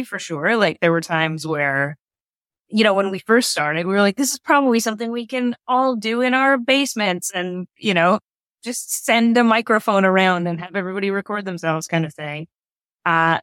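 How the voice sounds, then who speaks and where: A woman's voice: lowish voice, fairly bright tone, no echo. Candid, wry woman in a dry-sounding recording. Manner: candid, wry, explains through imagined quotes. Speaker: interview guest, audio drama creator. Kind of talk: casual chat.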